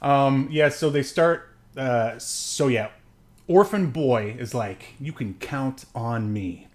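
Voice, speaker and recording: Male podcast co-host, mid-pitched, easygoing and joking, talking casually through a film. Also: slow pace. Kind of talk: casual movie recap chat.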